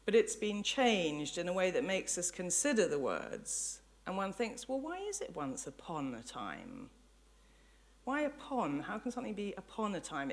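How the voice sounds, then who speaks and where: A woman with an English accent giving a public academic lecture, thinking aloud in reflective, sometimes hesitant phrasing with self-corrections. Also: deep voice. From England. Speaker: lecturer, professor. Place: lecture hall before an audience.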